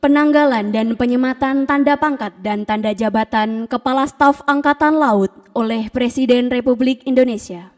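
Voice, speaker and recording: A woman acting as master of ceremonies, making formal, scripted announcements in a solemn, measured manner at an official ceremony. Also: on the high side. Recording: distant microphone in a ceremonial hall.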